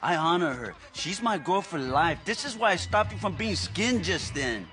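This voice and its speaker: An actor playing a proud, smooth Mexican-American gangster boyfriend with a Chicano Spanish accent in a video game. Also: male voice, high-pitched, animated, normal speed, sharp tone, soft background music. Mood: earnest. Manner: cocky but placating. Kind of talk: video game cutscene acting.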